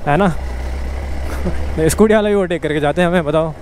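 A man's voice: a little high, a little fast, darkish tone, a little hiss or hum. A young man, chatting jokily on a motovlog while riding outdoors. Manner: joking, mixes Hindi and English. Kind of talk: casual vlog chat while riding.

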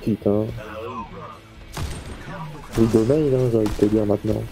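A man's voice: fairly low pitch, steady tone, unhurried. A man on voice chat during a team shooter game, bantering and swearing casually with his teammate. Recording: game music behind.

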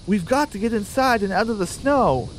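A man's voice: sits high, expressive, moderate pace, slight background noise, pitched above the performer's own voice, low-quality recording. A man voicing a sensible, commanding female cartoon chicken, the practical leader in a comic radio drama. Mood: urgent, scolding.

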